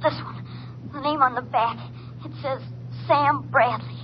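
A performer playing an earnest, halting young boy witness speaking over the telephone in a 1950s radio drama. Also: high-pitched, steady tone. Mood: shaken, earnest. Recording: muffled, faintly noisy old radio recording.

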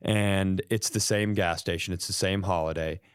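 Man, casual comic storyteller, podcast co-host, crisp tone, dry sound, animated, self-mocking. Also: low-pitched, normal speed, dry recording. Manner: animated, self-deprecating, acts out quotes. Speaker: podcast co-host telling stories.

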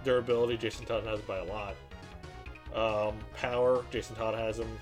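A man's voice: on the low side, flat delivery, a little fast, music in the background. A man co-hosting a podcast, chatting casually and informally with his partner. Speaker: podcast co-host. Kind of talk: casual banter.

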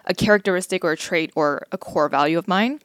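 Low-voiced woman hosting a podcast. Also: fast talker, no echo, clean studio sound. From United States. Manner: reflective, conversational, leans on "like" and "um". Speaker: podcast host. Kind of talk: casual podcast chat.

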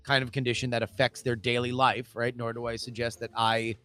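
A man co-hosting a casual political chat podcast. He talks in animated, emphatic bursts and stammers at times. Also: mid-range voice, fairly quick, faint music behind. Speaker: podcast co-host.

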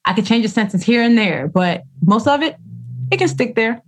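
A woman's voice: a little high, moderate pace. A woman author in a casual interview, speaking expressively, acting out quoted voices and using slang. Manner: expressive storyteller who acts out quoted voices, uses slang. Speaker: author guest.

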